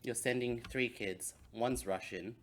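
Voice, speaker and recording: A man's voice: mid-range voice, a little slow. Man telling stories casually, some background noise. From Hong Kong. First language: Cantonese. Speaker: podcast co-host. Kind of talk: casual storytelling chat.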